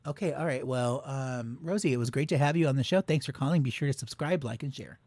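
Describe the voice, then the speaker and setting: Soft-spoken man hosting a call-in show. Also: mid-range voice, quick pace. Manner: soft-spoken, filler-heavy. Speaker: host.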